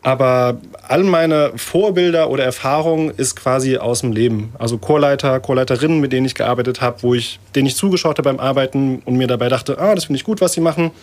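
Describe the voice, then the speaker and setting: A man's voice: mid-range voice, quick pace, hardly any pauses, a little mellow. A man who works as a choir director, answering interview questions in a thoughtful, hesitant way and laughing often. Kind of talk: casual interview talk.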